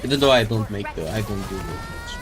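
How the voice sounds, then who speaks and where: A man's voice: fairly low pitch, animated, fairly quick. A man streaming a game, chatting casually and rambling as he thinks aloud. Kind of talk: casual live-stream chat while playing. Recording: game music behind the voice.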